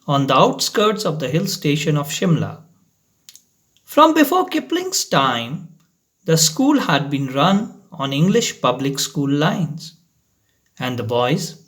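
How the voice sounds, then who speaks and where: A man reading a short story aloud in a dramatic, deliberate storytelling manner. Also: fairly high pitch, fairly bright tone.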